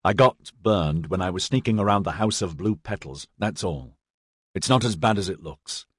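A man's voice: sits low, lively intonation, normal speed, fairly bright tone, husky, dry recording, clean studio sound, full character voice. A man voicing a troubled, defensive young male squad leader in an audiobook, earnest and strained in manner. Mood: weary, defensive.

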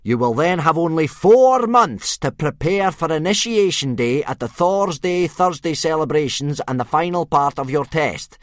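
A man voicing a gruff, blustering male Viking drill instructor who bellows at his young recruits. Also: fairly high pitch, lively intonation, moderate pace, hardly any pauses, dry recording, studio quality, pitched above the performer's own voice, natural voice. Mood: stern.

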